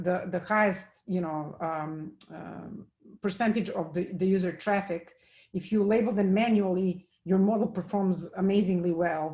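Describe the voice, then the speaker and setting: A woman from Serbia speaks at a technical conference, answering audience questions. She talks in halting bursts with many fillers and restarts. Her voice sits at an ordinary middle pitch. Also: mellow. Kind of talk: technical talk with Q&A.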